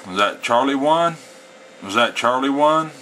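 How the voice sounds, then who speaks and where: A man's voice: medium pitch, expressive, unhurried, very noisy. An American man with a folksy, plain-spoken way, talking casually as a CB radio hobbyist. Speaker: amateur CB radio operator. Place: indoors at a home radio setup.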